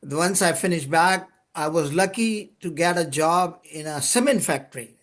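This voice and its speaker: Older man, high voice, English. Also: a bit bright. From Pakistan. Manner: unhurried, chuckles between stories, restarts words. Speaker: guest, lubrication consultant. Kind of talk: podcast interview storytelling.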